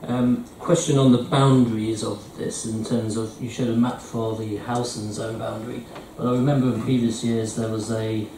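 A man's voice: lowish voice, background noise. A councillor, a man, asking a hesitant question with many uhs at a meeting. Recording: echoey committee room from far off.

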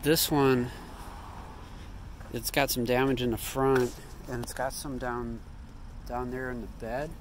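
A man's voice: mid-range voice, level tone, unhurried, a little hiss or hum. A man casually talking through what he sees as he walks around, thinking aloud and correcting himself, recorded outdoors on a handheld phone. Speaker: vlogger. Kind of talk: casual walkaround commentary. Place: outdoor parking lot.

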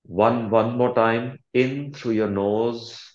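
A man leading a guided meditation as a coach, speaking calmly and deliberately with long pauses and soothing instructions. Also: medium pitch, roomy sound, highs cut off.

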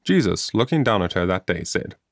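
Expressive deep-voiced male storyteller. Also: fairly quick, no echo, clean studio sound. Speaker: audiobook narrator. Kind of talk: scripted storytelling narration, children's moral story.

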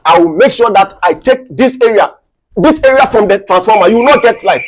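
A man playing a young electricity meter inspector in a comedy skit, exclamatory and quick to swing between deference and scorn. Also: mid-range voice, lively intonation, darkish tone, slight background noise, natural voice. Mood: indignant, scornful. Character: meek young meter inspector who turns scornful and indignant.

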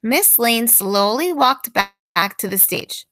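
A woman reading a children's picture book aloud in an expressive way with deliberate emphasis. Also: a little high, no echo.

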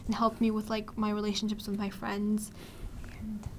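A teenage young woman, a student actor, chats casually in a panel interview, halting and leaning on "like". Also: medium pitch, monotone, slight background noise. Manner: halting, frequent "like". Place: indoor panel, seated group. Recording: faint background ambience.

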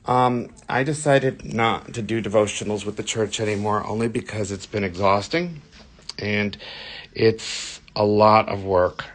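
Brief: man in his 40s, fairly low voice, hoarse from losing his voice, chatty live-stream host reading comments off a phone at arm's length in an indoor hallway. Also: steady tone, measured pace. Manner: chatty, reads viewer comments aloud. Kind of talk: casual live-stream tour chat. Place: indoor church building hallway. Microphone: phone held at arm's length.

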